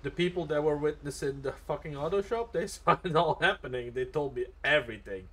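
A man's voice: a little high, brisk, fairly bright tone. A man chatting casually in character as a paramedic in online game role-play. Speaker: live streamer role-playing as a paramedic. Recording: game sirens and vehicle noise behind.

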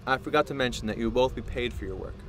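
Male actor as obsessive male inventor, mid-pitched, deliberate, proud, audio drama, faint music behind. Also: lightly acted. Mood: measured, earnest. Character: visionary, obsessive male scientist, proud creator of android.

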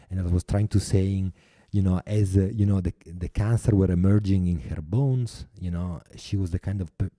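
Deep, dark-voiced Italian man. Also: no echo, clean studio sound. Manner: thoughtful, many hesitations and restarts. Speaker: guest. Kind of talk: podcast interview.

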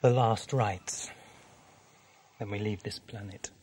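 An actor playing a brooding, irritable time-travelling alien in an audio drama, intense and curt. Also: male voice, on the low side, steady tone, slow, noisy background. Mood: grim, subdued.

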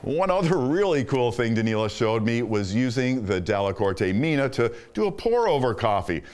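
A man's voice: mid-range voice, darkish tone. A middle-aged man hosting a show, reading an enthusiastic, upbeat scripted voice-over. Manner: enthusiastic, upbeat presenter delivery. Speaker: host.

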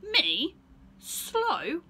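Bossy picture-book bear, played by a woman: loud, bright, demanding, easily offended. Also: extremely high pitch, wildly swooping pitch, drawn-out words, slight background noise, voice pushed up, full character voice. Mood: indignant.

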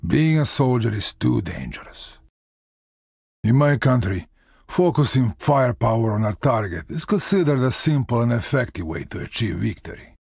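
An actor playing a tough, wry middle-aged Russian soldier with a gravelly voice and Russian accent, in a measured, blunt video game delivery. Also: male voice, medium pitch, animated, darkish tone, dry recording, studio quality. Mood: calm, wry. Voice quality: gravelly, husky. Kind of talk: video game character voice lines.

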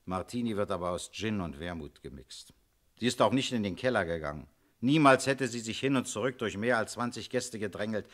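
An actor playing a shrewd, commanding police inspector in a German radio crime drama, questioning in a terse, authoritative way. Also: male voice, fairly low pitch, fairly bright tone, natural voice.

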